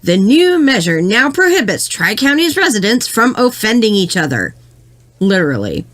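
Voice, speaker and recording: Woman acting as skeptical TV news co-anchor, lively intonation, earnest, dryly sarcastic, satirical bulletin style. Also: medium pitch, moderate pace, natural voice. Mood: dry, pointed. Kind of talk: satirical newscast delivery.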